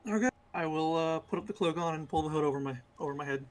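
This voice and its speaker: A young adult man with a squeaky voice, taking part in a tabletop roleplaying game in a hesitant way. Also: fast, slight background noise. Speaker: player.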